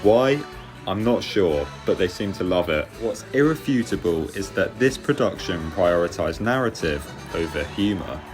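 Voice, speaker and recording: Low-voiced British man reviewing over music. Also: animated, moderate pace. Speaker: theatre reviewer and host. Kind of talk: scripted review narration. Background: music under the voice.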